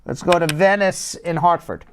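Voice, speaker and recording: Brisk, blunt male call-in host. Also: a little high, a bit bright, slight background noise. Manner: brisk, blunt, repeats himself when cutting in. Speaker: immigration lawyer and show host. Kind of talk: live call-in advice show.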